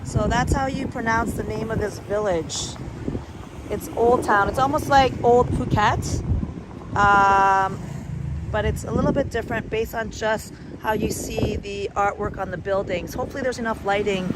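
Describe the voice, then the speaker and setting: Hoarse woman vlogging on a street. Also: medium pitch, moderate pace. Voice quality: raspy. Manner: chatty, rambling, greets viewers. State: hoarse from a sore throat. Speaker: travel vlogger. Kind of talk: live-stream walking vlog. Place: outdoors on a town street at night. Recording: noisy outdoor phone stream. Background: street and traffic noise.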